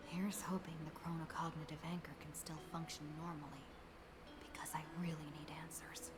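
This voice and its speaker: Low-voiced pensive young heroine, acted by a woman. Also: flat delivery, a little fast, heavy background noise, close to an everyday voice. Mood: pensive, worried. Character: pensive, worried young heroine thinking to herself. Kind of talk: scripted video game character dialogue. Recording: background music under voice.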